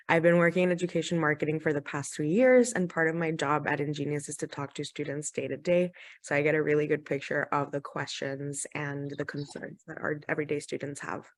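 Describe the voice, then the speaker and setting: A young woman from Honduras, a marketing director, chatting upbeat as a podcast guest. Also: low-pitched. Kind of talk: podcast interview.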